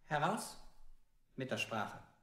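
A man's voice: mid-range voice, sing-song, takes it slowly, sharp tone, full character voice. A man playing a curt, badgering interrogator in a radio play, in an echoey room. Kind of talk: avant-garde German radio play acting.

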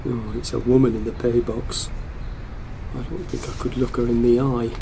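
A man's voice: mid-range voice, flat delivery, moderate pace, gravelly, noisy background, close to an everyday voice. An actor playing a hesitant, lonely man muttering and deliberating to himself in a radio play.

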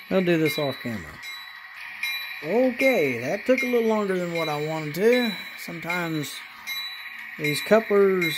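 A man casually narrating as he runs his model trains at home, pausing often with fillers and restarts. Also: on the high side, heavy background noise. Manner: halting, many fillers and restarts, relaxed. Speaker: model railroad hobbyist channel host. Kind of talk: casual running commentary while operating trains. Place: apartment room with model train layout.

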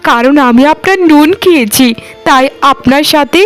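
A poor, devoted maid pleads humbly and respectfully in a high voice, as a woman plays her. She is gentle and loyal, a cartoon servant. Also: normal speed, soft background music, natural voice. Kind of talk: cartoon voice acting.

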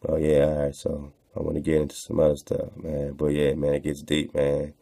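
Male online radio host: very deep, mellow voice, slangy and repetitive for emphasis, reading and riffing casually. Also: monotone, normal speed, gravelly. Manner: slangy, conversational, repeats phrases for emphasis. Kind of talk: casual commentary while reading aloud from a text.

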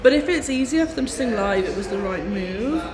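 A woman's voice: mid-range voice, lively intonation, fairly quick, heavy background noise. A young woman with an English Midlands accent co-hosting a livestream, chatting casually and gushing like a fan. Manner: gushing, enthusiastic fan talk. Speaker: livestream co-host. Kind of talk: casual panel chat. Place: indoor shop space with flower backdrop. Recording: echoey room with long reverb, crowd behind.

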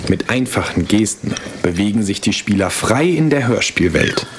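A man reading scripted promotional trailer narration in a measured, explanatory way. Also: lowish voice, expressive, noisy background. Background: music.

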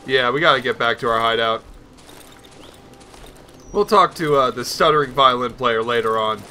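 A man's voice: mid-range voice, moderate pace, pushed and bright, a little hiss or hum. A man giving casual let's play commentary, rambling nervously and talking to himself as he plays. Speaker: gaming video host.

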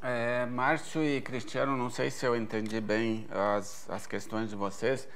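A man's voice: medium pitch, little pitch movement, measured pace, fairly bright tone, slight background noise. A man in his forties from Brazil, answering viewer questions as an agronomy researcher in a careful, explanatory way with many hesitation fillers, recorded at an event stage. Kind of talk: expert Q&A answers.

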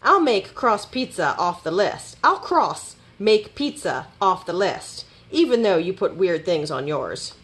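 A woman voicing a fussy, excitable male rabbit in a children's storybook, emphatic and easily flustered. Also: lowish voice, measured pace, hardly any pauses, full character voice. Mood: fussy, eager.

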